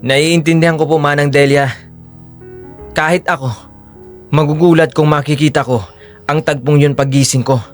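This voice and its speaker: A man's voice: fairly high pitch, fairly even intonation, normal speed, pauses often, faint music behind, natural voice. A man playing an earnest, forgiving young hero in an audio drama, speaking sincerely and respectfully. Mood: forgiving, reassuring.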